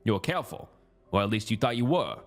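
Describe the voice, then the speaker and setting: A man voicing a masked cult leader in a horror story, with a deep Southern US accent, speaking in a level, almost bored, quietly malicious way. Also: animated, fast talker. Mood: bored contempt. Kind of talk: horror audio story dialogue. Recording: music under the voice.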